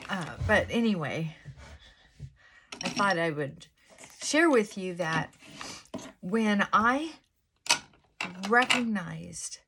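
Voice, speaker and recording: A middle-aged woman chats slowly and thoughtfully while getting ready in the morning. Her fairly high voice is held down on purpose, and she drops into soft whispered asides. She speaks in a confessional, reflective way. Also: animated, noisy background. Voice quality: fairly high-pitched voice she works to pull down. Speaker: vlogger. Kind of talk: casual get-ready-with-me chat.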